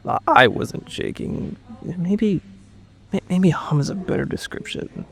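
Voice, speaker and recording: A man's voice: high voice, unhurried, vocal fry, a bit bright, full character voice. A man playing a confused, shaken survivor under questioning in a naturalistic audio drama, hesitant and stammering as he speaks. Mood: confused, searching.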